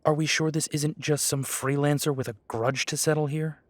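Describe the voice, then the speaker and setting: A man's voice: on the high side, fairly even intonation, normal speed, dry recording, natural voice. A young man voicing a young male werewolf pack leader who talks earnestly and deferentially. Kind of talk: amateur audio drama acting.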